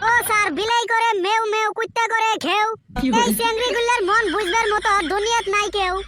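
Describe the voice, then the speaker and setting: Brash dim cartoon schoolkid, very high squeaky voice, rapid talk, comic backtalk. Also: fairly even intonation. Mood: smug reciting. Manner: brash backtalk in rustic village dialect. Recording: very noisy homemade cartoon dub.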